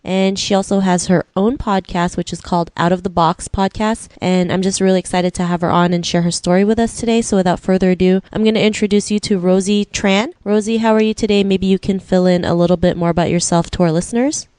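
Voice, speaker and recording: A woman's voice: medium pitch, fairly even intonation, fast, hardly any pauses, a little mellow, clear, resonant tone, no echo. A woman hosting an interview podcast, talking conversationally with frequent "you know" filler phrases. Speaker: podcast host.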